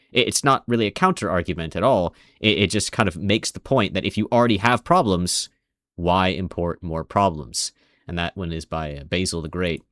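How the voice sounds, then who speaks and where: A man's voice: fairly low pitch, a bit bright, no room sound. A man casually commenting over video clips in a rambling, sarcastic way. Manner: rambling, sarcastic, many restarts.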